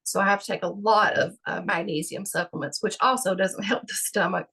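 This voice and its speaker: A woman with a gravelly voice answering interview questions about her health, halting and full of fillers. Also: mid-range voice, fairly even intonation, sharp tone. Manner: halting, many fillers and restarts. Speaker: patient guest.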